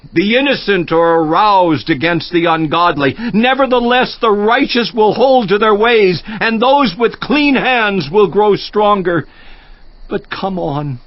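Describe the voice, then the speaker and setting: An actor playing a grief-stricken, worn-out righteous man lamenting to God in a dramatized scripture audiobook, with anguished, drawn-out delivery. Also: high voice. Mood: despairing, then defiant sorrow. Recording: dull lo-fi audio, echoing room.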